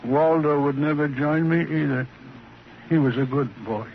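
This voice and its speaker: An actor playing a broken, childlike, drunken husband and former ventriloquist in an old radio drama, plaintive and repetitive, with slightly slurred speech. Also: male voice, fairly high pitch, normal speed, vocal fry. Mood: wistful, befuddled. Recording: muffled, noisy vintage radio recording.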